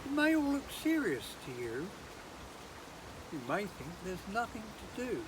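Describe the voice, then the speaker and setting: A performer playing a playful village narrator, delivering an arch, deliberate rhyming prologue to an audience in a theatrical monologue. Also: medium pitch, wildly swooping pitch. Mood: teasing. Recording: noisy amateur online recording, rain-like hiss.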